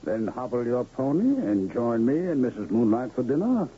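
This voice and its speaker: A man's voice: mid-range voice, very animated, normal speed, close to an everyday voice. A male actor playing a grandiose, genial middle-aged travelling medicine man in a 1970s radio drama, florid and theatrical. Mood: grandly genial. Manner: florid, theatrical, wordy showman with chuckles. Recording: muffled, noisy old radio broadcast.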